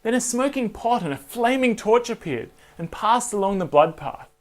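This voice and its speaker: A man hosting and narrating a scripted Bible story for children in an expressive manner, addressing listeners directly. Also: high voice, normal speed. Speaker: storyteller and host.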